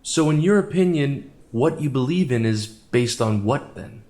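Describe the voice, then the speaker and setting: A man's voice: mid-range voice, lively intonation, a little slow, dark tone, close to an everyday voice. A man acting as a courtroom lawyer in an audio drama, questioning in a formal, persistent way.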